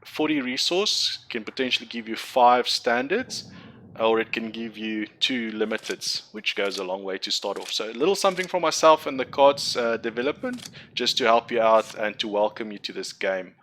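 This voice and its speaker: A man's voice: lowish voice, normal speed, a bit bright. Chatty Afrikaans-speaking man explaining a game. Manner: rambling, self-correcting, chatty explainer. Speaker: gaming content creator. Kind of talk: casual game tutorial commentary.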